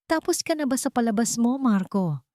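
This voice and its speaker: A woman plays a wealthy leading man from a romantic audio story. He is earnest and determined, speaking calmly with serious weight, in a dry recording. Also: on the high side, moderate pace, no echo, voice pushed up, close to an everyday voice. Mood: calm, challenging.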